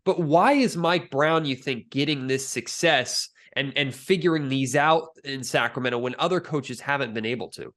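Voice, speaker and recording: A man's voice: medium pitch, normal speed, few pauses, fairly bright tone. A man hosting a sports podcast, talking through analysis and putting questions to a guest in conversation.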